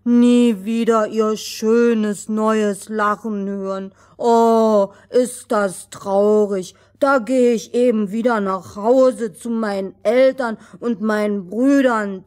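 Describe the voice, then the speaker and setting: Woman as a slow, naive fairy-tale lad. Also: medium pitch, steady tone, a little mellow, natural voice. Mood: forlorn. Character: poor simple young lad, wistful and good-natured.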